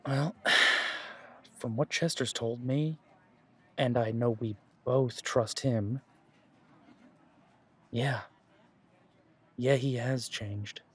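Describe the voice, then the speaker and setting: A man's voice: mid-range voice, drawn-out words, pauses often, fairly bright tone, breathy edge, full character voice. A man playing a caring, easygoing werewolf pack leader in an audio roleplay, talking in a casual, conversational way with trailing phrases. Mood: defensive, earnest.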